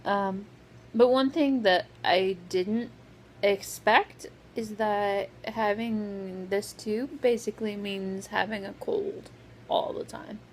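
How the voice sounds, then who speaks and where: A woman vlogger talking casually with a sore, scratchy throat, pausing often with lots of "um"s. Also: medium pitch, steady tone. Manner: hesitant, full of "um" fillers. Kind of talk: casual vlog update.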